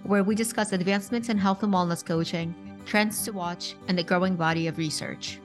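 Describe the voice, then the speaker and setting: A woman's voice: on the low side, fairly even intonation, fairly quick, faint music behind. A woman hosting a podcast, reading a scripted introduction. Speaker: podcast host.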